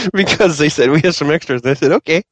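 A man with a mid-pitched voice talks casually about games on a podcast panel. He speaks quickly with lively swings in pitch, recorded dry and close. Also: slightly rough, no echo.

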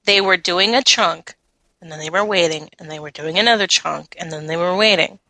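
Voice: mid-range voice, fairly quick, pushed and bright, no room sound, low-quality recording. A panelist chatting casually and explaining things in a group discussion. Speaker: podcast panelist. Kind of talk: casual chat.

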